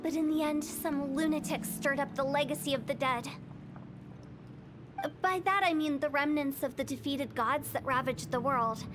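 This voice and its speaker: A woman's voice: sits high, fairly even intonation, normal speed, natural voice. A woman voicing a quirky, cryptic teenage girl funeral director in a video game, with a theatrical, articulate delivery. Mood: solemn, cryptic. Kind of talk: video game voice acting, storytelling. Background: game music.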